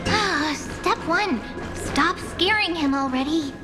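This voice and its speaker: A woman's voice: extremely high pitch, takes it slowly. An actress voicing a cute, mischievous little female sprite in a video game, playful, teasing and theatrical. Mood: playful, excited. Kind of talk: scripted video game voice acting. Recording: loud music behind the voice. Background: loud game music.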